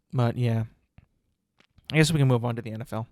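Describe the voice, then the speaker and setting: A man's voice: mid-range voice, darkish tone, dry recording, studio quality. Congested young man rambling on a podcast. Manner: rambling, many fillers and restarts. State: congested, sniffling. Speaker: podcast host. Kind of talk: casual solo podcast talk.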